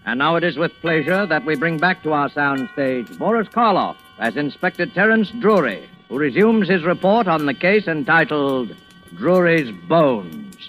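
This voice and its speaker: A man's voice: a little high, big pitch swings, normal speed, fairly bright tone. A man introducing a drama in a formal, suspenseful 1940s radio announcing style. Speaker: show announcer. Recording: muffled vintage radio recording. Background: music.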